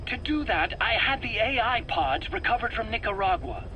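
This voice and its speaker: A man's voice: high voice, lively intonation, normal speed, bright, forceful tone, rough recording. A man playing a defensive, rambling weapons scientist under interrogation in a video game, hesitant and prone to stammering as he explains. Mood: earnest explaining. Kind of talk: dramatic video game voice acting. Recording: faint background noise on cassette recording.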